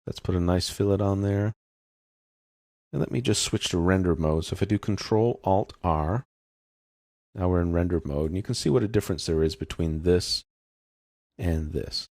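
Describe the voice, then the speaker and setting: A man narrating a step-by-step software tutorial as an instructor, explaining in an informal, unscripted way. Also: low-pitched, normal speed, pauses often, dry recording, studio quality.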